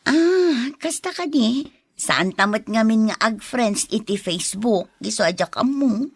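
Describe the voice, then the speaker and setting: A woman's voice: on the high side, expressive, measured pace, breathy edge, dry recording, clean studio sound, full character voice. A woman with an Ilocano accent playing a coy young woman in a radio drama, often drawing out her interjections. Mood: coy, casual. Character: Claire, coy young woman being courted. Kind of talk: Filipino radio drama acting.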